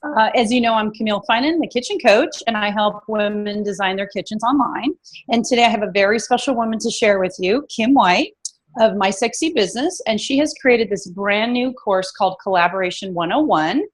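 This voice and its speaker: A woman's voice: medium pitch, a little fast. A woman hosting a casual interview, chatty and full of fillers, with a slightly froggy voice. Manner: chatty, many fillers and restarts. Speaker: host.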